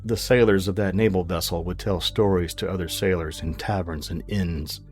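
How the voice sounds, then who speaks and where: A man narrating a scripted fiction podcast in a measured, grave, dramatic storytelling manner. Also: deep voice, fairly even intonation, a little mellow, faint music behind.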